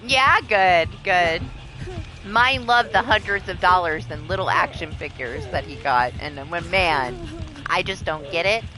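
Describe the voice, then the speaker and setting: Raspy, animated woman streamer with bright edge. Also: fairly low pitch, soft background music, poor audio. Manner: animated, chatty, quick to laugh, swears freely. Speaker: live video game streamer. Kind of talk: casual chat with stream viewers while gaming.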